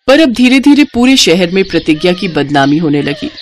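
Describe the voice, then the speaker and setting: A woman's voice: on the low side, sing-song, moderate pace, slight background noise. A woman reading a scripted story as its narrator.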